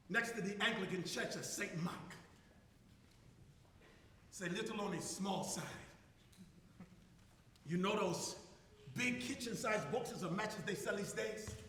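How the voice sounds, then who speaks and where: A man performing a theatrical stage monologue as an impassioned South African schoolteacher of fifty-seven, declamatory, with dramatic pauses. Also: high voice, fairly bright tone. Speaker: drama student actor. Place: large hall stage at commencement ceremony. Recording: echoey auditorium, distant, some noise.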